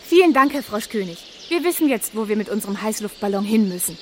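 A woman playing a brisk, resolute radio reporter who addresses young listeners in a children's radio play. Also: mid-range voice, expressive, slight background noise, lightly acted. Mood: explaining.

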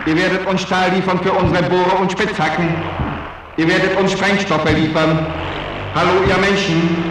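A coldly courteous salamander leader acted by a man delivers a formal radio-play address. The voice is high for a male and barely varies in tone. It comes from far off, drenched in echo. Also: lightly acted. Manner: measured, flat, coolly polite menace. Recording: distant, very echoey, noisy radio transmission.

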